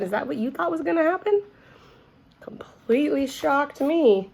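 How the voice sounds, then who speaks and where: A woman reading a children's story aloud expressively, as if to young listeners. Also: medium pitch. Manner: addresses young listeners with questions.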